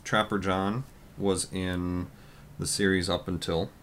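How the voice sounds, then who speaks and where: A man with a deep, low voice chats loosely on a podcast. He talks loudly and wanders through his points with plenty of fillers. A faint hiss of noise sits under the recording. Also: flat delivery, slow pace. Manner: loud, rambling with many fillers. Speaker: podcast co-host. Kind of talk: casual podcast chat.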